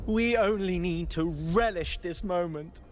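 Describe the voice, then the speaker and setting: A woman's voice: lowish voice, a little slow, lightly acted. A woman playing an entranced, blissfully devout penitent in a video game, serene and dreamy. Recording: noisy ambient sound behind voice.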